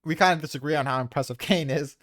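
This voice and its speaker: Dry-sounding, slightly high-voiced man debating casually. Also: fast talker, a bit bright, no echo. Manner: informal, profane. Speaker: tier list maker defending placements. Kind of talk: casual debate about manga character rankings.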